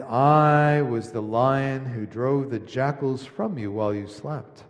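A man voicing a solemn, gentle, all-knowing great lion, speaking slowly and gravely as he reads a storybook aloud. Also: mid-range voice. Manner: slow, grave, measured delivery. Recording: long reverb of a large hall.

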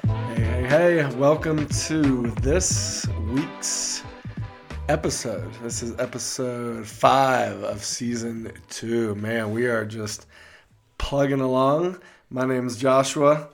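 A man with a gruff voice co-hosting a faith podcast in an easygoing, joking chat full of fillers. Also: medium pitch, slow pace, faint music behind. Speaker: podcast co-host.

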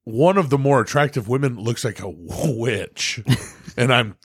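A man's voice: mid-range voice, a little slow, breathy edge, no echo. Guy on a podcast, swears a lot, voice jumps all over, dry close sound. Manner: animated, profane, laughs often. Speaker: podcast co-host. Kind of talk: casual chat.

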